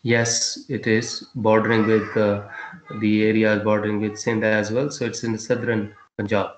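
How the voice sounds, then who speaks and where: A male teacher giving a class lesson, quizzing his students, with a halting delivery full of fillers and restarts. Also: on the low side, little pitch movement, echoey room, a little hiss or hum, low-quality recording.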